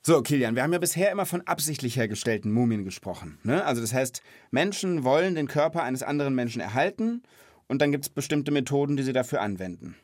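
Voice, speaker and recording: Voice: medium pitch, lively intonation, quick pace, darkish tone, dry recording, soft background music. A host chatting casually on a children's podcast, explaining things simply and asking follow-up questions.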